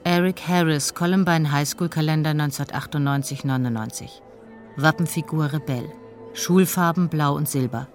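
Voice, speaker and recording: A man's voice: a little high, normal speed. A man reading scripted facts and lists for a documentary in a clipped, factual manner. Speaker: documentary reader. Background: faint music.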